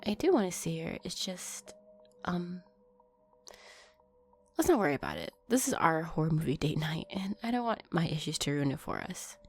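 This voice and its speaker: A woman playing an affectionate, anxious vampire girlfriend in an intimate roleplay audio drama, talking in a soft, chatty way. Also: fairly low pitch, big pitch swings, moderate pace, slightly rough, faint music behind, full character voice. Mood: reluctant, downcast. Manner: soft, chatty, sighing and giggling, breaking into whispers.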